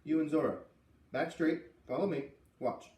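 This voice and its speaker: A man's voice: on the high side, normal speed, a little mellow, reverberant, recorded from a distance, close to an everyday voice. A man voicing a confident, teasing, laconic young farm hand who coaches a beginner in a storybook. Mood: instructing.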